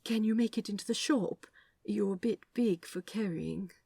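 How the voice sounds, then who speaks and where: A woman's voice: mid-range voice, moderate pace, vocal fry, dark tone, husky, no room sound, studio quality, voice pushed up. A woman voicing a fierce but caring male angel in a storybook reading, with a snapping, emphatic, commanding manner. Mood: worried, gentle.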